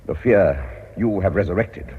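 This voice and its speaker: An actor playing a grave, reproachful English clergyman in a radio drama, formal and sermonizing, with a hoarse, breathy voice. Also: deep voice, expressive, unhurried, heavily acted. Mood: solemn. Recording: muffled radio drama, reverberant hall.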